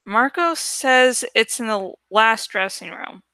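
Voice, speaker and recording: Woman reading as a bright, bossy teenage girl. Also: on the high side, lively intonation, takes it slowly, no echo, studio quality, heavily acted. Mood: businesslike. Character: teenage girl narrator-heroine, brisk, bossy, teasing friend.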